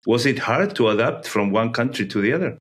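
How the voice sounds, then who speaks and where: A man hosting an interview, asking questions in a polite, measured way with formal phrasing. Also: medium pitch, animated. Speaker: podcast host.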